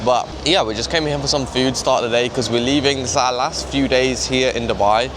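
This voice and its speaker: A young man in his twenties talks casually and chattily straight to his viewers, with a middle-pitched voice. He's recorded on a handheld camera, and steady street and traffic noise comes through clearly behind him. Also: moderate pace. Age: 20s. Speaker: vlogger. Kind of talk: casual vlog chat. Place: back seat of a car, then a busy mall.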